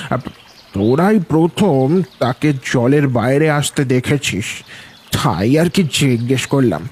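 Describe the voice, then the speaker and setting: Man acting a slow-talking village headman. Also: mid-range voice. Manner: hesitant, drawn-out, repeats words. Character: village headman, questioning, cautious, fatherly authority. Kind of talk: Bengali animated ghost story acting.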